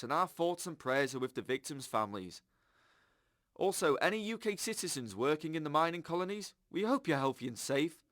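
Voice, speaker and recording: Chirpy high-voiced radio host. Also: male voice, bright, forceful tone, no room sound, studio quality. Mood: upbeat, earnest. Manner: chatty, upbeat, earnest. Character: chirpy, earnest, government-trusting male radio show host. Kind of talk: radio presenting in an audio drama.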